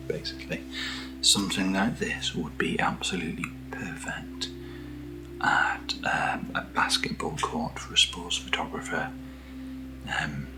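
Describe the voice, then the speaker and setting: A British man speaking softly in a breathy near-whisper, giving an informal, slightly hesitant ASMR product walkthrough as a keen enthusiast. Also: low voice, rough recording. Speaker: ASMR host. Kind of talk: soft-spoken ASMR product unboxing.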